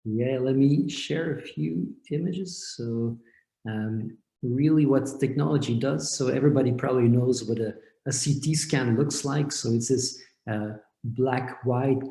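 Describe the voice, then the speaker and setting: A man from Belgium, a company founder, explaining his work step by step in a measured way over a video call. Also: medium pitch, mellow. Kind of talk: explanatory interview answers. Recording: echoey room.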